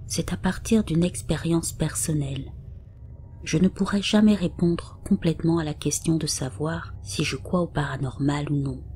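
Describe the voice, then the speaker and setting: A woman reading scripted paranormal stories as a narrator, in a soft, half-whispered delivery. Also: low voice. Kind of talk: scripted narration read aloud. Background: faint background music.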